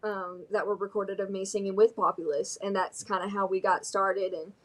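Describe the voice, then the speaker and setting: A woman's voice: mid-range voice, flat delivery, normal speed. A young woman with a Southern American drawl, from Alabama, talks through an interview in a bubbly, easygoing way. She giggles often and leans on "um" and "like" as she goes. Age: young adult. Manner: bubbly, giggly, many fillers. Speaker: singer-songwriter guest. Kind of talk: casual interview chat.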